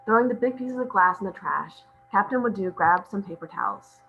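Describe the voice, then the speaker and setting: Woman reading script directions, normal pitch, bit of hum in the bg. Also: expressive, fairly quick, vocal fry, phone-quality sound. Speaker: stage-direction reader. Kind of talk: scripted narration at a table read.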